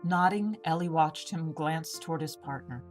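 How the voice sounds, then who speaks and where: A woman's voice: sits low, normal speed, a little mellow. A woman reading scripted fantasy fiction as the narrator of a story. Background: plucked string music, guitar.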